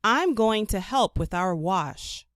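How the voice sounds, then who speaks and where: A woman voicing an earnest, affectionate little girl in a children's storybook, with an expressive, childlike delivery. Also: mid-range voice, slow, no room sound, studio quality.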